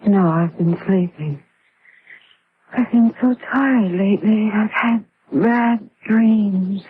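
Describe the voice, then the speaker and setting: A woman's voice: medium pitch, takes it slowly, lightly acted. An actress playing a listless, drowsy sleepwalker who draws out her words in an old radio horror drama. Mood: weary, pleading. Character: weary, listless sleepwalking woman. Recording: tinny, narrow, hissy old radio recording.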